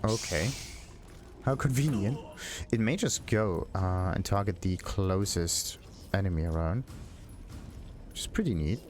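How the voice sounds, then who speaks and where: A man giving casual game commentary as a let's play host, thinking aloud in a halting, puzzled way. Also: sits low, a little mellow, slight background noise. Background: game music.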